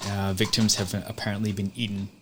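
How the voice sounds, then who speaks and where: Worried, understated brother of a missing woman played by a man, tabletop roleplay. Low-pitched, hesitant, faint noise behind. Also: level tone, brisk, close to an everyday voice. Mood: hesitant, concerned. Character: worried man searching for his missing sister.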